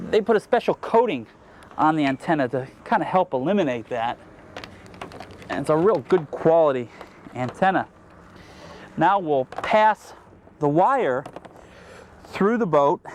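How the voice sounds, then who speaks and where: A man's voice: a little high, a little hiss or hum. A man hosting an instructional how-to show, presenting in a casual, explanatory way outdoors. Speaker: TV show host. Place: outdoors on a boat.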